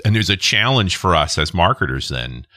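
Deep-voiced man hosting a podcast. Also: very animated, moderate pace, pushed and bright, no room sound, studio quality. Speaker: podcast host. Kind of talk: conversational interviewing.

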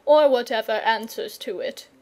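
A woman's voice: on the high side, moderate pace, darkish tone, close to an everyday voice. A woman voicing a confident, patient knight in a storybook, earnest, courteous and persuasive. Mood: matter-of-fact. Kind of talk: storybook character reading.

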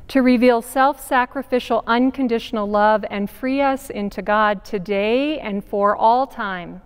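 A woman in her forties preaching a sermon as pastor in a large church sanctuary, measured and deliberate, with emphatic pauses, recorded from a distance. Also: a little high, smooth. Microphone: camera at a distance, wide sanctuary shot. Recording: long church reverb.

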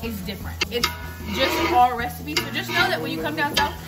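A woman's voice: high-pitched, expressive, a little fast. A woman in her twenties from the southern United States casually showing how to cook in a home kitchen. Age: 20s. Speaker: home cook presenting a recipe. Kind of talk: casual cooking demonstration. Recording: loud music behind, home kitchen.

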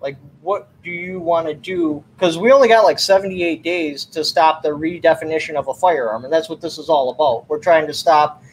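A man's voice: on the high side, normal speed, hardly any pauses, a little hiss or hum, low-quality recording. A man in his thirties hosting a livestream debate over a webcam call, pressing his guest with pointed questions. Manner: probing, keeps asking pointed questions. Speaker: livestream host. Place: small room at home.

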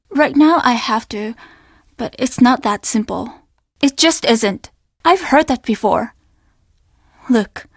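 A woman's voice: on the high side, no room sound, clean studio sound. A woman voicing an earnest, apologetic young innkeeper at a Japanese inn in a video game, speaking with formal politeness and an undertone of trouble. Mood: strained, apologetic. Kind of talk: video game character dialogue.

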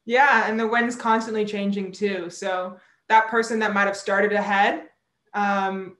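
A woman's voice: medium pitch, steady tone, unhurried, fairly bright tone. Brief: sailor from Barbados in her twenties, chatty interview guest on a video call, roomy home audio. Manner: chatty, explains with hand gestures, laughs often. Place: indoor room at home.

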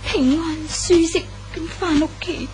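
A noisy old radio drama recording carries a sorrowful young romantic heroine. Her voice is very high, with a Hong Kong Cantonese accent. She speaks reflectively and with measured drama. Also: a little mellow. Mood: wistful. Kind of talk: 1970s radio drama acting.

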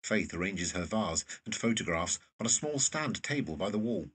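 A man narrates scripted scene directions in a fairly low, husky voice. He sounds a little way off from the microphone. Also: lively intonation, normal speed, fairly bright tone, far from the mic.

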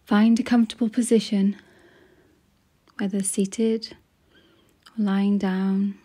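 A woman narrating a guided meditation in a soft, breathy, near-whispered voice, gentle and soothing, with long pauses. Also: medium pitch, flat delivery.

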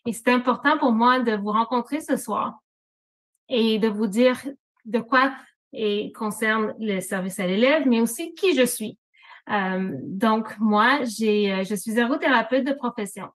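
A Franco-Ontarian woman in her forties presents to a committee over a video call. Her voice sits somewhat high, and her delivery is organized and clear. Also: a little slow, low-quality recording. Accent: Franco-Ontarian French. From Northern Ontario, Canada. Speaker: student services manager.

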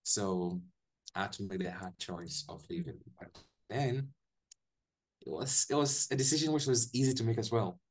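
A man's voice: on the low side, normal speed, husky. Young Ghanaian man on a video call. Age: young adult. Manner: relaxed storytelling with frequent restarts. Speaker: guest, English teacher. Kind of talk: casual video-call interview. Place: small room indoors.

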